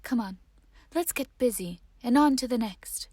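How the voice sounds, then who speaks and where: A woman's voice: on the high side, expressive, normal speed, darkish tone, husky, dry recording. A woman voicing a spirited, encouraging elderly great-great-aunt in a children's storybook, speaking emphatically. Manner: emphatic, exclamatory.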